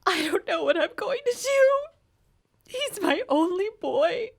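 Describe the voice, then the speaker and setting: A woman's voice: very high-pitched, very animated, takes it slowly, vocal fry, heavily acted. A woman playing a distressed, pleading neighbor around thirty in an audio drama. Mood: distraught. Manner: pleading, emotive. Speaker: actor.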